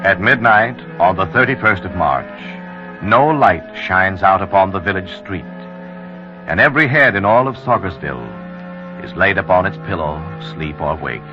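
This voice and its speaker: A man narrating a 1940s radio drama as a solemn storyteller, in measured, poetic lines. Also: low voice, expressive. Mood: solemn, brooding. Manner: measured, dramatic verse delivery. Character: solemn, poetic male narrator of a lonely village tale. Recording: muffled vintage 1940s radio, music behind.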